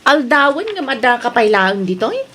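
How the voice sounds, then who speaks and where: An actress plays an inquisitive woman in an audio drama. She chats in an Ilocano accent with a very high, bright voice, always asking after things. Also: sing-song, normal speed, slight background noise. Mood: curious. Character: chatty, inquisitive woman dropping by.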